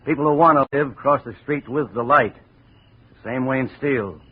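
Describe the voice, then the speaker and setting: An actor playing a bitter, world-weary steelworker in a 1950s radio drama. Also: male voice, medium pitch, moderate pace, slightly rough, close to an everyday voice. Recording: tinny old radio broadcast.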